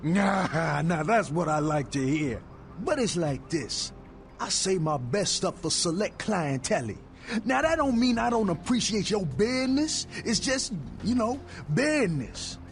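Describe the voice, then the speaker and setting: Big cocky street salesman from a video game, high voice, swoops all over, slangy hustler patter. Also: very animated, unhurried, soft background music, full character voice. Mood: sly, businesslike. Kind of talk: video game character acting.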